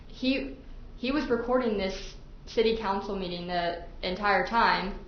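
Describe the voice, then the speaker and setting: A woman's voice: mid-range voice, unhurried, slight background noise. Echoey, muffled woman arguing in court. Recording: echoey, dull courtroom recording.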